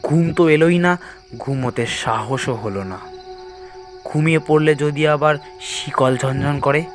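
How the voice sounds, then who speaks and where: A man's voice: on the high side, unhurried, music in the background. A man narrating a scripted first-person horror story in a dramatic, suspenseful way.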